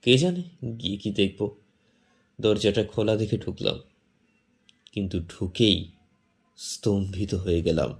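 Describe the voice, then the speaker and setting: A man narrating a horror story in the first person, theatrical and suspenseful, with drawn-out pauses. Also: on the high side, vocal fry, a little mellow.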